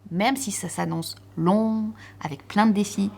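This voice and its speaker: A woman's voice: mid-range voice, a little slow, vocal fry. A woman speaking in an upbeat, hopeful way in a documentary interview.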